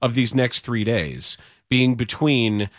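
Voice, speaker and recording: A man's voice: medium pitch, animated, takes it slowly, no room sound, studio quality. A man hosting a sports radio show, bantering casually, laughing at his own stories and trailing off mid-thought. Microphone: studio microphone.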